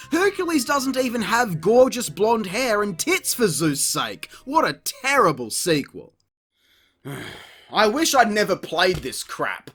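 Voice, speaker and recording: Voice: mid-range voice, fairly bright tone. Sweary sarcastic game reviewer doing a comedy rant, voice jumps all over, exasperated, bit of music behind. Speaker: video game reviewer, channel host. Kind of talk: scripted comedic review narration.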